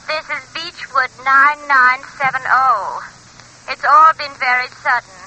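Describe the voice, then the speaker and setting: A woman's voice: high voice, fairly even intonation, measured pace, bright, forceful tone, heavily acted. A woman playing a prim, matter-of-fact lady answering a household telephone in a 1940s radio drama, polite and detached. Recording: faintly noisy vintage radio recording.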